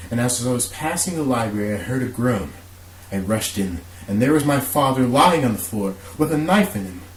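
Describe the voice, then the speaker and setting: Man playing an agitated, pleading young man, lively pitch. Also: lightly acted. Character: distressed young man accused of killing his father. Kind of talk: amateur radio drama acting. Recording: roomy echo, faint hiss.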